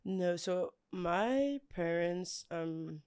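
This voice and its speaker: Woman, twenties, from Belgium, smooth clear voice, soft-spoken, thoughtful. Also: fairly low pitch, expressive, drawn-out words. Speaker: guest. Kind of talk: casual podcast interview.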